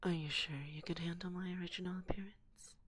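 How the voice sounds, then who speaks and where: A woman voicing an assertive, teasing forest witch who is a stern but fond mentor, in soft, whispery binaural ASMR roleplay with a deliberate, condescending manner, close to the microphone. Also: lowish voice, fairly even intonation, slight background noise, close to an everyday voice. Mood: haughty, teasing. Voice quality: soft, breathy, often slipping into whisper.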